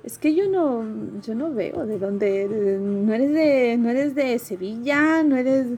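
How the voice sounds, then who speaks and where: A middle-aged woman from Peru, with a Peruvian accent mixed with Spanish ones, telling stories in a casual interview, lively, swearing freely and laughing often. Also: fairly high pitch, takes it slowly, slightly rough. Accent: Peruvian Spanish mixed with Andalusian and Madrid Spanish. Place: Seville, Spain.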